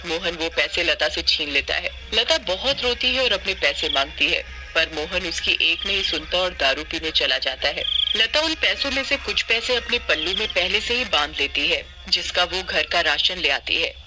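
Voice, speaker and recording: A woman's voice: fairly low pitch, animated, normal speed, few pauses, music in the background, rough recording. A woman reading scripted narration for a cartoon story.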